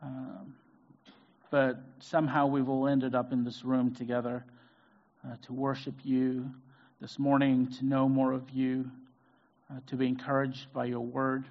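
A middle-aged man preaches in a casual, conversational way, pausing on fillers and restarting his sentences. His voice sits at a middle pitch for a man. The church recording is muffled and lo-fi. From Zambia. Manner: hesitant, many fillers and restarts. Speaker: guest preacher. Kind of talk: conversational sermon. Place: church sanctuary.

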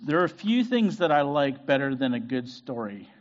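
A man's voice: medium pitch, very animated, normal speed, lo-fi sound. Hesitant man preaching. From Zambia. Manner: hesitant, many fillers and restarts. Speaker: guest preacher. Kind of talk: conversational sermon. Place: church sanctuary.

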